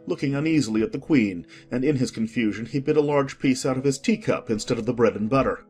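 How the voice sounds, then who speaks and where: A man narrating a dramatized audiobook with expressive storytelling. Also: medium pitch.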